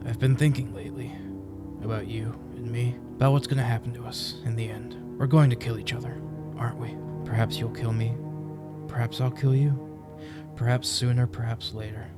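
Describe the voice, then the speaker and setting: An actor playing a grim masked male vigilante who speaks in a quiet, measured, earnest way. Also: medium pitch, fairly even intonation, natural voice. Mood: solemn. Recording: film clip with music underneath. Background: music.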